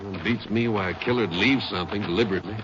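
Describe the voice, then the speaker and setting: A man's voice: on the low side, racing, lightly acted. A man playing a plainspoken, folksy country sheriff in a 1950s radio western drama, talking with a drawling delivery. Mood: puzzled, attentive. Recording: noisy, muffled 1950 radio recording.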